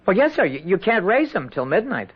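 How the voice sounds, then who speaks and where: An actor playing an honest, stubborn young soldier lad in a 1970s radio drama, folksy, naive and halting. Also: male voice, sits high, big pitch swings, breathy edge, full character voice. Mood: earnest. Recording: dull lo-fi 1970s radio recording.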